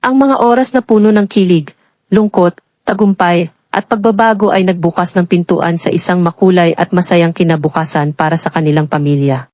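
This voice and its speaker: A woman narrating a scripted romance story in a measured, sentimental storybook delivery. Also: fairly low pitch, steady tone, a little mellow, no room sound. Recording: dull lo-fi audio with background music. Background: music.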